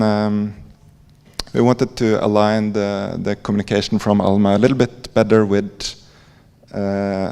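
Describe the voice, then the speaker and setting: A man with a Norwegian accent giving an informal conference presentation in a halting, hesitant way, with frequent pauses. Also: fairly low pitch, vocal fry, dark tone, clear, resonant tone. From Norway. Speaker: conference presenter, library coder. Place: conference hall. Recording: echoey hall with long reverb.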